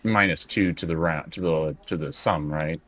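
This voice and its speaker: A man with a deep voice chats through a tabletop game as a player. The sound is dry and close, and he states his moves casually. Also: normal speed, a bit bright, no echo.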